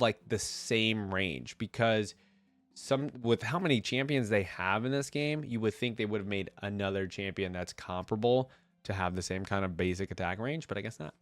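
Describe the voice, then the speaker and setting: A man with a fairly low voice, stuffed up from a cold, hosts a casual game reaction. He thinks aloud in loose, slangy language. The sound is very dry, with hardly any room on it. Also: normal speed, no room sound. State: congested from a cold. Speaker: video game reaction host. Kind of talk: casual off-the-cuff reaction commentary.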